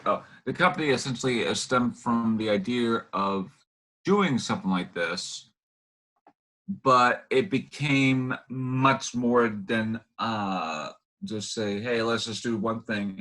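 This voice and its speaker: A man hosting a casual interview, talking in a halting way and often rephrasing himself. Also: medium pitch, a bit bright. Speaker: host interviewer.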